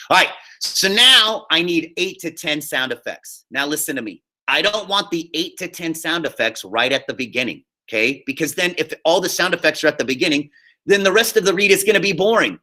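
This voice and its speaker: A man's voice: on the high side, pushed and bright. An American man giving a chatty, step-by-step lesson over a video call as a theater teacher, often checking in with his students. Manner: chatty, checks in often with okay and all right. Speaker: high school theater teacher. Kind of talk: casual instructional screen-share lesson. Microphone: computer mic on a video call.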